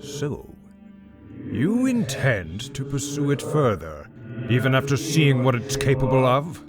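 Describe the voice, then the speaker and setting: A man's voice: medium pitch, sing-song, over music. A man playing a grave, ancient god in a fantasy audio drama, speaking in a formal, stately and foreboding manner. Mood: grave concern. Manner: solemn, formal, measured.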